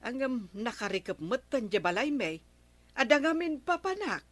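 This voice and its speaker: An actress playing a grudging village neighbour full of excuses in an Ilocano radio comedy drama, chiding and sighing. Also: female voice, fairly high pitch, very animated, moderate pace, no echo. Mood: evasive. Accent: Filipino, Ilocano.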